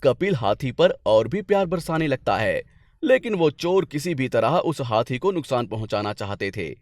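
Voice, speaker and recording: Male folk-tale narrator: mid-pitched, very lively intonation, dry studio-like sound. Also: normal speed, no echo.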